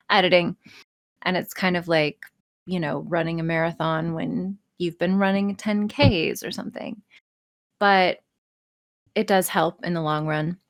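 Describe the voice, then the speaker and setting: Female podcast guest, lower register, wry and blunt, swears freely in casual chat. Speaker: podcast guest, audio creator.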